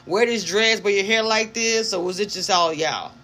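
A woman's voice: medium pitch, moderate pace. A woman hosting a live-streamed interview, supportive and chatty, reading out comments from the chat. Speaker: interview host. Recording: noisy stream audio.

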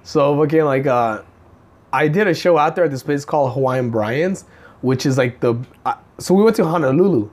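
A man's voice: fairly high pitch, moderate pace, darkish tone. Slangy man with a slight lisp. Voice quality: slight lisp from a bitten tongue. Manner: slangy, peppers talk with dude and man. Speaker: stand-up comedian. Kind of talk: casual chat.

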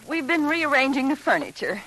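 A woman acting a polite, flustered middle-aged mother, halting and pleading, in an old-time radio drama. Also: high-pitched, sing-song, sharp tone, exaggerated voice. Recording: faintly noisy vintage radio recording.

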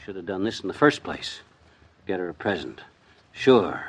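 A man's voice: sits low, big pitch swings, normal speed, husky, full character voice. A man playing a forgetful, flustered husband in an old-time radio comedy, blustering in folksy, ungrammatical talk. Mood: indignant, defiant.

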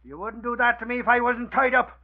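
An actor playing a blustering, boastful, dim-witted tough newsman with a husky voice in a 1940s radio drama. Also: very high-pitched, brisk. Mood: indignant, defiant. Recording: muffled old radio recording.

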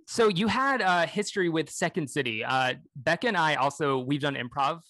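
Woman host, low voice, dry close sound, enthusiastic interviewer. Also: expressive, moderate pace, fairly bright tone, dry recording. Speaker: podcast host. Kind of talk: casual interview chat.

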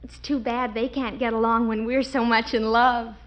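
A woman's voice: a little high, little pitch movement, normal speed, close to an everyday voice. A woman playing a sweet earnest young niece. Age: young adult. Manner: earnest, pleading. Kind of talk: 1940s radio acting. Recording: dull old radio recording.

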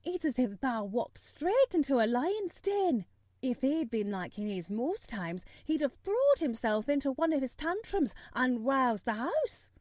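A fretful young maidservant with a broad Yorkshire accent, as a woman reader puts her on, her voice pitched up high and loud with alarm. The tone stays warm and mellow, bouncing up and down, close and dry with no room sound. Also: sing-song, unhurried, no echo. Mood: incredulous. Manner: fretful, exclaiming broad dialect. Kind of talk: audiobook character voice.

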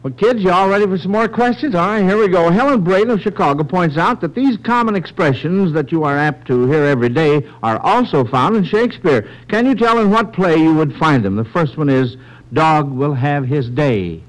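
A man's voice: fairly high pitch, animated, normal speed, poor audio. A man hosting a 1940s-style radio quiz for children, hesitant, with frequent murmured affirmations. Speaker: quiz show host.

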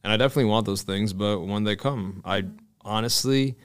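A man's voice: lowish voice, fairly quick, smooth. A man in his early thirties with an American accent, chatting casually and candidly as a podcast host, swearing freely. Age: early 30s. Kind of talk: casual conversational chat.

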